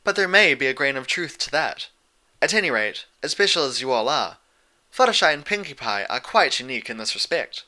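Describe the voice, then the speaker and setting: A man voicing a regal, patient female pony princess, explaining things in a measured way in a story. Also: mid-range voice, very animated, bright, forceful tone, pitched above the performer's own voice.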